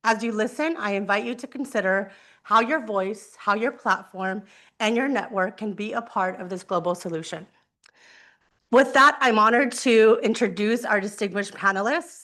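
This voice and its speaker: A woman's voice: on the high side, sharp tone. A woman moderator opening a panel, earnest and partly scripted, with small restarts. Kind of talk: event moderation.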